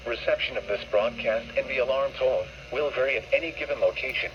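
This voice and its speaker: A computer-made male voice reading an automated weather broadcast in a flat, measured, word-by-word way. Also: medium pitch. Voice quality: even, synthetic text-to-speech delivery. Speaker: weather radio announcer. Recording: dull, hissy radio receiver recording.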